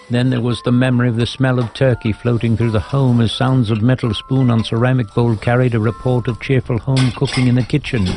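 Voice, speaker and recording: A man narrating a scripted audio drama as a storyteller, in a measured, reflective manner. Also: fairly low pitch, creaky, a little mellow. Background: music.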